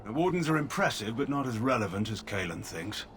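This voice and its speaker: An actor playing a stern, proud older army general in a video game, speaking in a clipped, dismissive, formal way. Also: male voice, medium pitch, very animated, brisk, a bit bright, a little hiss or hum.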